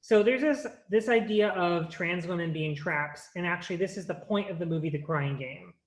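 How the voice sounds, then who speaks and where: A woman's voice: fairly low pitch, normal speed. A woman giving an earnest, explanatory talk at an online conference, speaking over a video call with frequent restarts. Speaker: workshop presenter.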